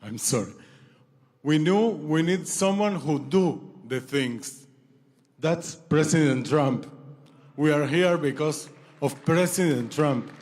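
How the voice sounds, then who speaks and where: A man from Israel and Argentina, giving a halting, emotional speech as a former hostage, repeating and apologizing for his English. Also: fairly high pitch, animated, a little mellow. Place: large banquet hall. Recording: long hall reverb.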